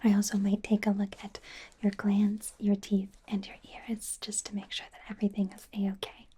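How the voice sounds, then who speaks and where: A woman's voice: medium pitch, monotone, moderate pace. A woman playing a nervous, friendly female werewolf doctor in a whispered ASMR roleplay, hesitant, stammering and apologetic. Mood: friendly, awkward. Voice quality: soft, breathy whisper.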